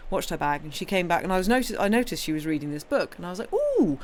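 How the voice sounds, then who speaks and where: A woman's voice: lowish voice, big pitch swings, fast talker, a little hiss or hum. A woman with a British accent hosting a livestream in casual, playful chat, often breaking off her own sentences and rambling on. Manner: rambling, playful, self-interrupting. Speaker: livestream host and reader.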